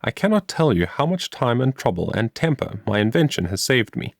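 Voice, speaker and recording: Cheerful busy young woman from a storybook, read by a man, lively and chatty, bit creaky. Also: mid-range voice, brisk, dry recording, studio quality. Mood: cheerful, proud. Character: cheerful, industrious young woman explaining her homemade invention.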